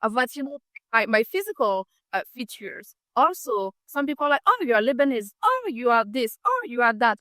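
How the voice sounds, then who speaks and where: A woman with a French accent hosts a podcast interview, her voice bright and forward. She tells her own story expressively, recorded close and dry with no room sound. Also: on the high side, dry recording. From France. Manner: expressive, shares personal story. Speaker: podcast host.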